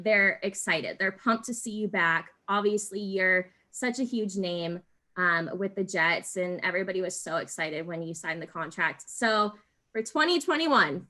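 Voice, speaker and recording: A woman's voice: medium pitch, pushed and bright. An American woman hosting a podcast, asking questions in a casual, informal, lightly teasing way. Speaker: podcast host, interviewer. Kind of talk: casual interview chat.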